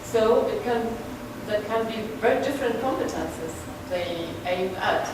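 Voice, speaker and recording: A woman speaks from across a large echoey room, pausing often and restarting her sentences as she explains. Her voice sits at an ordinary pitch, picked up from a distance with steady background noise. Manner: hesitant, many fillers and restarts. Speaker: workshop presenter. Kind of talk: conference discussion.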